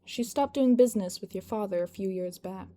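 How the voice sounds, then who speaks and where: A woman's voice: medium pitch, fairly quick, dark tone, close to an everyday voice. Woman voicing a practical, patient male aide. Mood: matter-of-fact.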